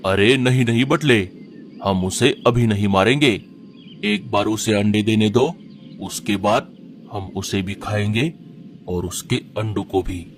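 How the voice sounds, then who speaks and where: A man's voice: fairly low pitch, unhurried, slight background noise, natural voice. A man doing a scheming cartoon vulture. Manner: gruff, bossy and scolding. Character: scheming greedy male vulture. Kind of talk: cartoon voice acting.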